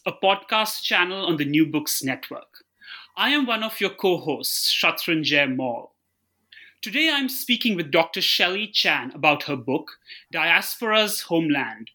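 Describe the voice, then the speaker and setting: A man co-hosting an academic interview podcast reads his introduction and poses questions in a polite, measured way. His voice is somewhat high and very bright. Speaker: podcast co-host.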